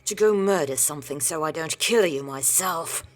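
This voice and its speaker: A woman's voice: sits low, moderate pace, faint music behind, lightly acted. A woman playing a fierce older sister, an alien warrior, curt and scolding in an audio drama. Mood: exasperated. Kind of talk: scripted audio drama acting.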